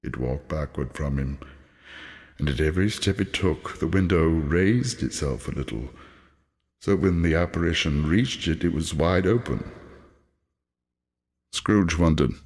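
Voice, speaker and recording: A man narrating an audiobook as dramatic storytelling, with theatrical, deliberate phrasing. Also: very deep voice, expressive, darkish tone, husky.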